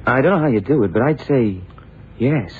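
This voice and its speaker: An actor playing an eager, questioning junior FBI agent in a radio crime drama. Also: male voice, medium pitch, lively intonation, normal speed, natural voice. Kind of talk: 1960s radio drama acting. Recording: phone-like, noisy old radio recording.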